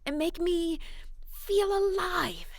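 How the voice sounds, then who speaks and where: A woman's voice: very high-pitched, expressive, slow pace, no echo, studio quality, pitched above the performer's own voice, full character voice. A woman voicing a clever, choosy young fairy-tale princess, emphatic and dramatic. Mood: decided, yearning. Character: clever, choosy young princess who knows her mind.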